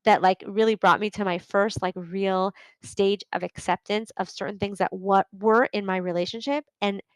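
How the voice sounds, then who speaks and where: A woman's voice: mid-range voice, fairly even intonation, normal speed, no echo, low-quality recording. A middle-aged woman hosting a solo podcast, talking earnestly and conversationally, often checking in with "right?". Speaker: podcast host and coach.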